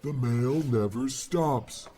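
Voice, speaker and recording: A man's voice: medium pitch, takes it slowly, mellow, faint music behind, natural voice. A man playing a stern, petty post office boss with a scolding manner in a radio comedy play. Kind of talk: old-time radio comedy acting.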